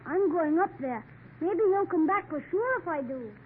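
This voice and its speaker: A man's voice: extremely high pitch, animated, moderate pace. An actor playing a hopeful, eager young boy who asks questions, in an old radio drama. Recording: tinny old radio broadcast with hiss.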